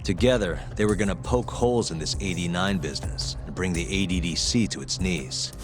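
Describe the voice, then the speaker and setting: A man's voice: deep voice, a little fast, close to an everyday voice. An actor playing a tough, cool and sardonic investigator in a video game cutscene, confident and taunting as he presses for answers. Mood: cool interrogation. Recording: dramatic music behind the voice. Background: background music.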